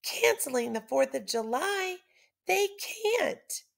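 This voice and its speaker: Woman voicing Lady Liberty, an animated, earnest giant statue. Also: extremely high pitch, slow, gravelly, voice pushed up, heavily acted. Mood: shocked, impassioned. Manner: dramatic, emphatic, earnest.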